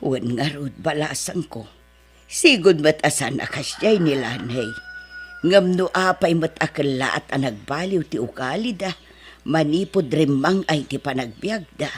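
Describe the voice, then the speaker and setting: A woman's voice: deep voice, animated, unhurried, faint music behind. A middle-aged woman with an Ilocano accent acting a proud, scornful rich mother in an audio drama. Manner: haughty, scornful, dismissive.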